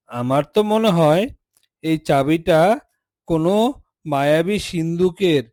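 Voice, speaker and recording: A man's voice: a little high, darkish tone, dry recording. A man voicing a woodcutter's eager, obedient young son in a cartoon fairy tale, speaking earnestly.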